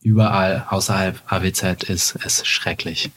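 A man's voice: deep voice, little pitch movement, moderate pace, fairly bright tone. Guy in his 30s, german isn't his first language, jokey mock-dramatic podcast talk. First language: not German. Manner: playful, teasing, mock-dramatic. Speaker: actor, podcast guest. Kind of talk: casual podcast chat.

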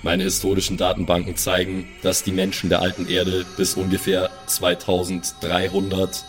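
A man voices a robot son in an improvised audio play. He is formal and literal, reporting everything like a database in a lowish voice, with faint music behind him. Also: monotone, moderate pace, natural voice. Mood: formal, alert. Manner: formal, mechanically factual phrasing. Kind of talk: improvised audio drama roleplay.

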